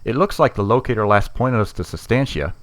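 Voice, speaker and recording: Wry, animated spaceship engineer. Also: male voice, lowish voice, brisk, no echo. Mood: wry, analytical. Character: male ship engineer, wry, casual, jittery mercenary. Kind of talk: sci-fi audio drama acting.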